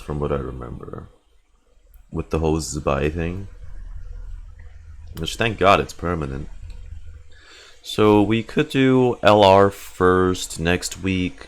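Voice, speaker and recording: A man chatting casually on a game livestream, rambling as he thinks aloud and swearing now and then. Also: low voice. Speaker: game streamer. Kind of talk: casual livestream chat while gaming.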